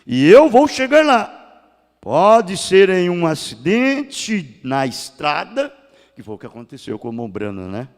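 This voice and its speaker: Older Brazilian man, pastor, fairly high gravelly voice, slow and dramatic delivery. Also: wildly swooping pitch. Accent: Brazilian Portuguese. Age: 60s. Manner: preaching that surges to loud cries then drops to hushed tones. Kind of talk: sermon. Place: church sanctuary. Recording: long church hall reverb.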